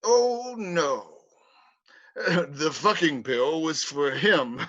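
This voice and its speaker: A man's voice: on the high side, animated, very slow, fairly bright tone, lo-fi sound, exaggerated voice. A man voicing a pompous male hospital psychiatrist in a livestream script reading, hesitating with fillers. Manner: hesitant, stumbling over fillers. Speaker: play reader. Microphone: home mic on a livestream.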